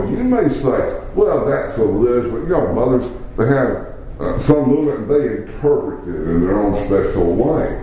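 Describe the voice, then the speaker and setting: A middle-aged preacher delivers a sermon with emphatic pauses and a lively, rising and falling delivery. His voice is warm and mellow. The sound rings in a very reverberant room with faint ambience behind it. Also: fairly low pitch, slight background noise. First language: English.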